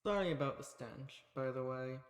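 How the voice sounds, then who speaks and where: A man's voice: medium pitch, moderate pace, clean tone, close to an everyday voice. A man playing a folksy undercover rebel among monster hunters in a roleplay audio drama. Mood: friendly, reassuring. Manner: folksy, reassuring. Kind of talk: scripted roleplay audio drama addressed to the listener.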